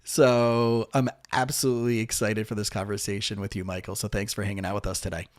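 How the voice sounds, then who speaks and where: A man's voice: on the low side, flat delivery, a little fast, dry recording, studio quality. A man hosting a podcast interview in an upbeat, enthusiastic way, often repeating his words. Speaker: podcast host.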